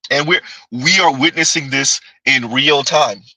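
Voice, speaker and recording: A man's voice: medium pitch, unhurried, pushed and bright, dry recording, rough recording. A man hosting a livestream, giving casual commentary, reading chat aloud and laughing often as he answers viewers. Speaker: livestream host.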